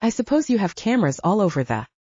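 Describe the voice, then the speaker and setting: A woman's voice: medium pitch, very animated, quick pace, dark tone, dry recording, lo-fi sound. A woman narrator voicing a nervous, curious, wide-eyed young woman in an audiobook. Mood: nervous, uneasy.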